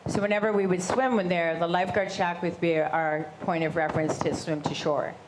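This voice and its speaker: A woman with a big voice telling her story to a live audience, animated and gesturing as she talks. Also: fairly low pitch, a little fast, background noise. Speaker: shark attack survivor and guest speaker. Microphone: handheld microphone.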